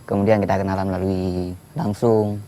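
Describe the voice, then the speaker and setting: Guy with a deep mellow voice chatting haltingly in an interview, some noise behind. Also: flat delivery. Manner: halting, informal. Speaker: radio listener being interviewed. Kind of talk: casual interview talk. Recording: faint background noise.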